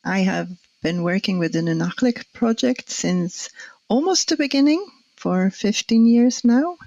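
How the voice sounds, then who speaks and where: A woman from Sweden, an archaeologist, explaining her project in a measured way with fillers. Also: mid-range voice, expressive, creaky, a little mellow, dry recording, highs cut off. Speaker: archaeologist, guest. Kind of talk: explanatory conversation.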